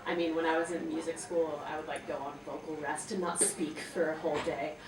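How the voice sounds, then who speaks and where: A woman's voice: deep voice, flat delivery, brisk. A woman voice actress and singer chatting on a convention panel, bubbly and peppered with "like". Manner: bubbly, lots of "like", laughs between words. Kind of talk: casual panel talk. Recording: echoey hall, distant mic, noisy.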